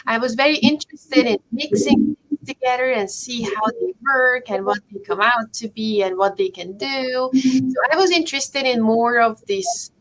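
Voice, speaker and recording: A woman, talking to a group of kids over a video call. Her voice sits a little high. She keeps checking in with them and encouraging questions as she explains chemistry. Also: moderate pace, fairly bright tone, low-quality recording. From Hungary. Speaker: professor teaching a kids' chemistry camp. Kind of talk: friendly talk to children over a video call.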